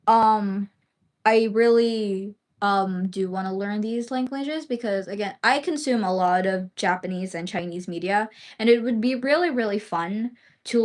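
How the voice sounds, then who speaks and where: Rambling woman livestreamer. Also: mid-range voice. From Philippines. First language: Bisaya. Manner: rambling, filler-heavy, swears casually. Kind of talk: casual livestream chat.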